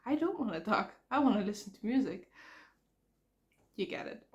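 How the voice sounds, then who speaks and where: A woman's voice: mid-range voice, animated, lo-fi sound. Woman with a cracking, cold-ridden voice. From Netherlands. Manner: frank, halting, repeats words. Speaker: vlogger. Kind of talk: casual rambling vlog. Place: outdoors near traffic.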